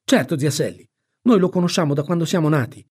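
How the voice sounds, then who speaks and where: A man voicing a brash, excitable adventurous boy from a storybook, exclaiming and impulsive. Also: on the high side, fast, slightly rough, pitched above the performer's own voice. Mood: excited, insistent.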